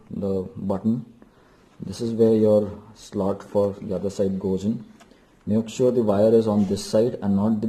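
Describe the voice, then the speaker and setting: A man's voice: fairly low pitch, dark tone. A man giving an unscripted hands-on tutorial, explaining step by step and repeating instructions for emphasis. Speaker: DIY vlogger.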